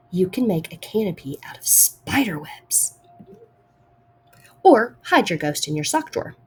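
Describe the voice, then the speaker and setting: A woman reading a picture book aloud to children in a deliberate, expressive storytime style. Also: sits low, a little hiss or hum.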